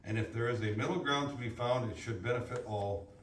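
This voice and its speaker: A man reads written statements aloud at a public hearing. His voice sits at a middle pitch. The room is echoey and he sounds away from the mic, with faint noise behind. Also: brisk, distant mic. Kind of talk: reading prepared statements aloud at a public meeting.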